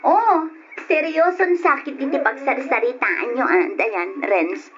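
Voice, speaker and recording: A woman's voice: sits high, slow pace, a little hiss or hum. An actress playing a cheerful, teasing female college student in an Ilocano radio drama, speaking with an Ilocano accent. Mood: curious, uneasy. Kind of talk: old radio drama acting. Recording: dull lo-fi radio drama recording.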